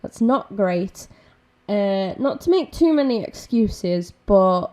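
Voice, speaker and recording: A woman chatting to viewers on her gaming stream, her raspy voice croaky and a bit nasal from being ill, rambling with lots of ums and restarts. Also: medium pitch, lively intonation, slow pace, darkish tone. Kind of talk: casual gaming stream chat.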